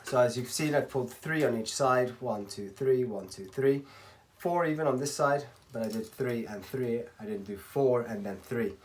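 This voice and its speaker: Guy from spain doing a casual how-to, kinda rambly, clears his throat, clicky clattery workshop noise behind him. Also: mid-range voice, moderate pace, hardly any pauses. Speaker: racquet stringer giving a tutorial. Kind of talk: casual hands-on tutorial talk.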